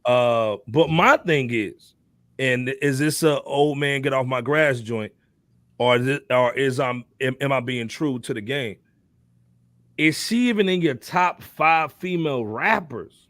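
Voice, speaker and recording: Middle-aged man, American accent, mid-pitched voice, slangy podcast host, conversational, dry recording. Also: unhurried, a bit bright, no room sound. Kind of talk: casual hip-hop talk.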